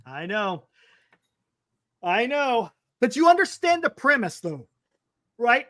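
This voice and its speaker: Animated, emphatic man in his fifties. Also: very high-pitched, slow, no echo, clean studio sound. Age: 50s. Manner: emphatic, repeats himself, calls people "man". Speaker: sports talk show host. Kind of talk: casual live-stream sports chat.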